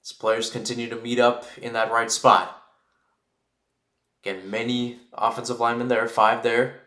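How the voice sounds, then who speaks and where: Male football play-by-play commentator, medium pitch, conversational delivery, noticeably roomy echo on the recording. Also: flat delivery, moderate pace, sharp tone. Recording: roomy, echoing sound.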